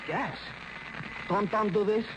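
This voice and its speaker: An actor playing a defiant, simple young street thug with a Spanish accent in broken English, in a 1940s radio drama. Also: male voice, high voice, slow pace. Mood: wary. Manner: broken English, curt protests. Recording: noisy old radio recording.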